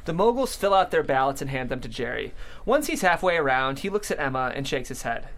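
Female narrator for screenplay directions, lively intonation, comedy table read. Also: a bass voice, brisk. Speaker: stage directions reader.

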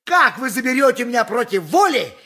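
An actor playing an indignant, pious, grumbling donkey driver in a radio play, with emphatic, plaintive peasant bluster. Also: male voice, very high voice, sing-song, brisk, sharp tone, husky, heavily acted. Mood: indignant, haggling. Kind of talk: theatrical 1980s radio play acting.

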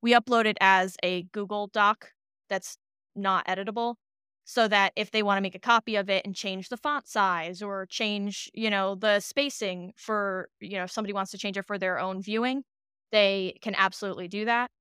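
A woman chatting casually as an interview guest, an animated storyteller who slips into acting out imagined dialogue. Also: mid-range voice, normal speed, clean tone, no echo, clean studio sound. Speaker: podcast producer, interview guest.

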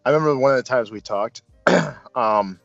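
A man casually reviewing a film as host, speaking in a halting, thoughtful, enthusiastic fan's way. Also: mid-range voice, creaky. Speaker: movie review show host. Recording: dull lo-fi sound, music behind.

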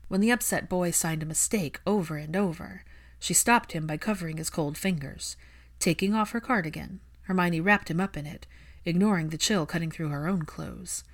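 A woman's voice: on the low side, normal speed. A woman reading scripted fiction aloud as an audiobook narrator.

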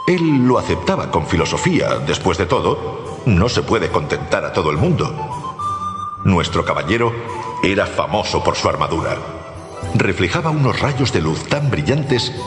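Man, radio storybook narrator, theatrical delivery, wide swings of pitch, echoey reverb, music behind.

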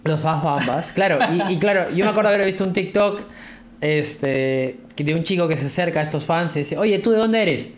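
A man with a Peruvian accent chatting casually on a podcast in an animated, rambling, slangy way. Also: on the high side, brisk. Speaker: podcast host.

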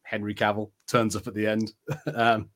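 A man's voice: on the low side, little pitch movement, moderate pace, fairly bright tone. A man co-hosting a podcast, giving his opinions in a casual, conversational and tentative way. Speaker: podcast co-host. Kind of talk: casual chat.

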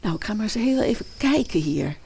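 A woman's voice: mid-range voice, sing-song, a little fast, gravelly, darkish tone, slightly rough, exaggerated voice. Woman playing wide-eyed teenage schoolgirl in a radio fairy tale, chatty, very up and down. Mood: grumbling, uncertain. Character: naive, indignant teenage schoolgirl, a modern fairy-tale princess. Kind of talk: Dutch fairy-tale radio play acting.

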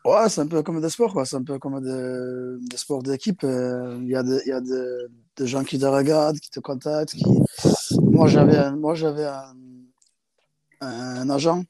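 A man's voice: mid-range voice, fairly even intonation, moderate pace, warm tone, no echo. Hesitant South African man speaking French. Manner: hesitant, many fillers and restarts in second-language French. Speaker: interviewee, rugby player. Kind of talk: casual interview.

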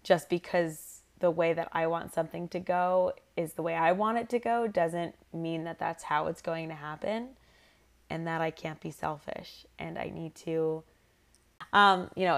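Young woman chatting on a podcast, lowish voice, smooth but a bit tired out from shouting, rambles along casually. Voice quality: slightly raspy from lost voice. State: voice worn from shouting and singing. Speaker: podcast co-host.

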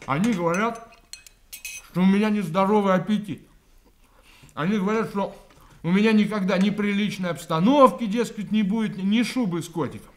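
An actor playing a naive, good-hearted young policeman in a radio drama, plaintive and halting as he repeats himself. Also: sits high, lightly acted. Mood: aggrieved.

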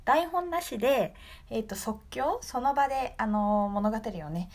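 A woman's voice: fairly high pitch, lively intonation, unhurried. A woman hosting a radio show in a casual, polite, chatty way, explaining things off the cuff.

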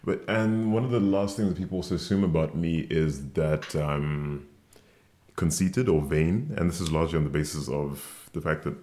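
A young man with a deep voice, co-hosting a casual podcast chat and teasing his co-host. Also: moderate pace, warm tone. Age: young adult. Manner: teasing, jokey.

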